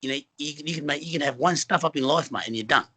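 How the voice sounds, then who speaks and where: A man's voice: on the high side, fast talker, sharp tone, slightly rough. A middle-aged Australian man from Western Sydney, speaking as a film actor guest in an earnest, rambling way with many fillers and restarts. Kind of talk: casual interview conversation.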